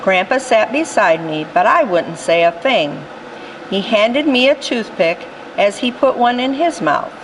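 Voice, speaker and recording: A woman reading a rhyming picture book aloud in an expressive storytelling style. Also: medium pitch, a little slow, slight background noise, poor audio. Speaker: storytime reader.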